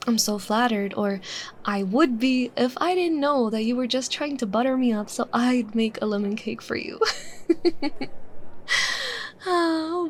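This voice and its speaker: A woman playing a bubbly, teasing young woman chatting casually with her secret crush in a roleplay, expressive and playful. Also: on the high side, a little hiss or hum. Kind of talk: roleplay ASMR, acted casual chat.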